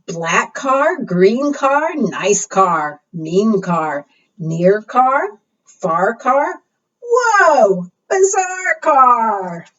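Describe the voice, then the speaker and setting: A woman's voice: fairly high pitch, very animated, very slow, fairly bright tone. A woman leading a preschool storytime, reading rhymes aloud and putting questions to small children in a sing-song way. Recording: muffled, distant microphone.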